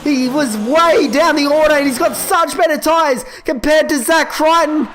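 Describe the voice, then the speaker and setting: A young man calling a sim racing event live, excitable and quick, his voice giving out and cracking. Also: very high voice, a little hiss or hum. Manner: excitable, quick calls that rise at overtakes. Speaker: esports race commentator. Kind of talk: live play-by-play race commentary.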